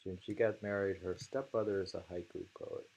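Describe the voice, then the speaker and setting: A man hosting a casual haiku discussion and reading poems aloud, in a halting, musing way that often trails off. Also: low-pitched, fairly even intonation, vocal fry, a little mellow, highs cut off.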